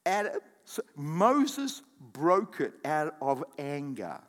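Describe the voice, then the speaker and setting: A man preaching a sermon as pastor, calling out to the congregation and breaking into quick asides and acted-out quotes. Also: a little high. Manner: animated preaching with audience call-outs and asides. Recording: long hall reverb.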